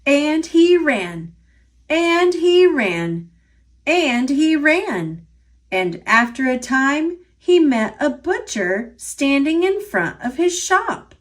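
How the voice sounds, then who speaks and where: Woman, American accent, high voice, slow pace, expressive children's storybook narration. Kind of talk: read-aloud storytelling for young children.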